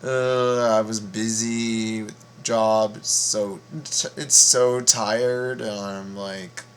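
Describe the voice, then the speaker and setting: A very tired man giving casual reaction commentary, halting and rambling as he repeats his words. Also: lowish voice, little pitch movement, fairly bright tone, slight background noise. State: very tired, half asleep. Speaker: video host reacting to game voice lines.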